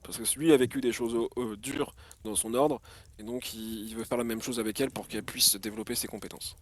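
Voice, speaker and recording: A man player presenting his tabletop character in a halting way, with many fillers and restarts. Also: mid-range voice, steady tone.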